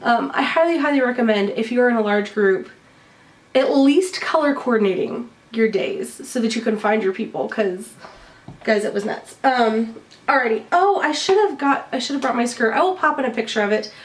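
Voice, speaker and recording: A woman's voice: fairly high pitch, moderate pace, far from the mic. A woman chatting casually to camera with a stuffy nose as she recovers from bronchitis, cheerful and full of ums. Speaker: vlogger showing a shopping haul.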